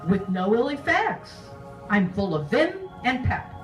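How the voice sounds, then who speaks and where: Woman as smug prim housewife, coy, swooping highish female voice, unhurried, vintage advert spoof, distant with music. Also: very animated. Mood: cheerful selling. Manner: coy, genteel, deliberate. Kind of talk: old-time radio advert parody. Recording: distant echoey stage, music behind.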